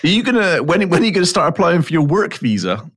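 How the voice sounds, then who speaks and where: A man's voice: on the high side, very animated, fast talker, a bit bright. A man co-hosting a casual podcast who teases his partner and laughs often. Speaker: podcast co-host.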